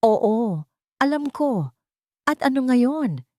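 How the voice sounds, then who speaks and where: Woman doing a sneering cold-hearted rich wife, sharp-tongued, higher pitched, dry close sound. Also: very animated, slow pace, dry recording. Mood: mocking. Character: cold, scheming wealthy wife, mocking and menacing.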